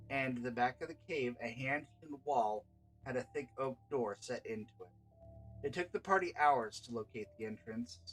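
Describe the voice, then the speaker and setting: A man's voice: medium pitch, fairly bright tone, poor audio. A man reading scripted fantasy narration aloud as a game master and storyteller.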